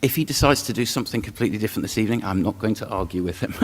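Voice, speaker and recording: North Welsh man, panel chair, friendly and hesitant, low voice, echoing hall. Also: racing. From North Wales. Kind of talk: event introduction. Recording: long hall reverb.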